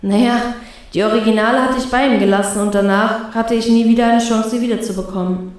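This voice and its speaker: A woman reading a first-person fan fiction story as an expressive narrator. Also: fairly high pitch, a little fast, no room sound.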